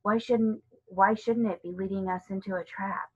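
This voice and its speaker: A woman's voice: medium pitch, fairly even intonation, moderate pace, breathy edge, dull audio, lightly acted. A woman voicing a sullen, suspicious boy in a storybook, grumbling and conspiratorial. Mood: suspicious, secretive.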